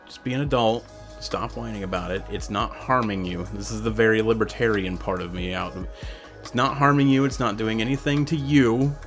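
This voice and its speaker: A man hosting a podcast, talking casually and ranting with strong opinions. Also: medium pitch. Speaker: podcast host.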